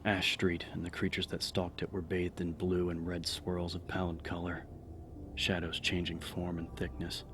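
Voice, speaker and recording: A man with a very low voice narrates a horror story in a dramatic, scripted style. His delivery stays narrow in range, set over clear background ambience and noise. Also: monotone, normal speed. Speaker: audiobook narrator.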